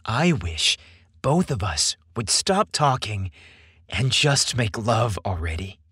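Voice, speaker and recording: A man's voice: on the low side, sing-song, takes it slowly, fairly bright tone, breathy edge, no echo, clean studio sound, exaggerated voice. A man voicing an eager, smitten young man in a romance story, with an earnest, playful manner. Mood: teasing.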